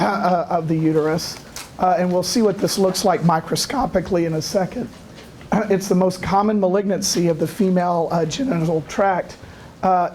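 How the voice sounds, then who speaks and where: A man giving a lecture, hoarse from a cold, halting often with filler sounds and clearing his throat. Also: high-pitched, darkish tone, a little hiss or hum. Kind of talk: academic lecture.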